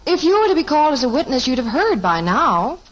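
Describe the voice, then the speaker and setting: A woman's voice of middle pitch with a lively, rising and falling melody, patient and sensible as she calms her children. Heard through a dull, muffled old radio recording. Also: fast. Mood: soothing. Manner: soothing, sensible. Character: calm, patient mother. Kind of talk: 1950s radio sitcom acting.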